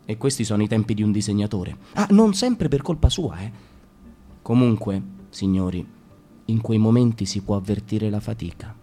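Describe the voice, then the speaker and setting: A man's voice: lowish voice, animated, moderate pace, dark tone, soft background music. A man performing a reflective artist's monologue for a radio play, with emphatic turns. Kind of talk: theatrical reading of an artist's monologue.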